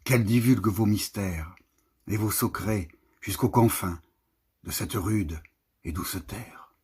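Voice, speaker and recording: A man with a husky, raspy voice reciting a literary poem expressively, in measured, declamatory phrases with marked pauses. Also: fairly low pitch, a little mellow. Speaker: poetry reader.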